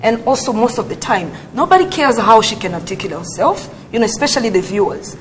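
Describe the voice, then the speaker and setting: A woman's voice: a little high, sing-song, quick pace, slight background noise, low-quality recording. A young woman speaking earnestly as a guest on a talk panel, arguing a point. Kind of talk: panel discussion.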